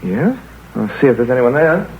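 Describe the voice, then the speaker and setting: An actor playing a level-headed English country doctor who narrates in a measured, calm way in an old radio drama. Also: male voice, medium pitch. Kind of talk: 1930s radio drama acting. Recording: scratchy tinny old radio broadcast.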